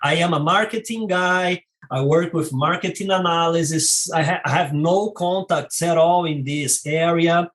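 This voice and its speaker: A Brazilian-accented man in his forties speaks in a slightly high voice. He is a filmmaker guest on an interview, talking slowly and haltingly with fillers and restarts as he searches for words in his second language. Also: fairly bright tone. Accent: Brazilian Portuguese. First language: Portuguese. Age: 40s. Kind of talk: casual interview talk.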